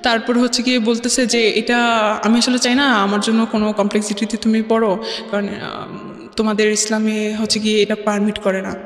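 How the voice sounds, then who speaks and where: A woman's voice: medium pitch, level tone, brisk. Bangladeshi woman storytelling in echoey room. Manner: mixes English words into her talk. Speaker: guest telling her life story. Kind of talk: conversational personal storytelling. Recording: roomy reverberant studio, music underneath.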